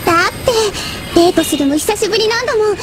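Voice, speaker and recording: Lovestruck teenage girl, audio drama: extremely high, fast, bubbly and sweet, over prominent music. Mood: giddy. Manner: excited, playful, sweet. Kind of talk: Japanese drama CD acting.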